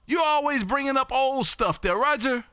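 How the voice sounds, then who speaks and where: A loud, folksy man works the airwaves from his home radio room. His voice sits high and sounds sharp and bright, and he keeps repeating call signs. The station mic sounds dry and close. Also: animated, moderate pace, clean tone, no room sound. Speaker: ham radio operator hosting a live stream. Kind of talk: casual on-air radio chat.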